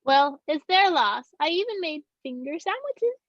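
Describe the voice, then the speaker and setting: Female, high and animated on a dry lo-fi mic; actress as a feisty, blunt grandmother in gothic comedy. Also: unhurried, dry recording. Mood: miffed. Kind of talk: amateur table read of a film comedy. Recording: dull, dry, lo-fi microphone.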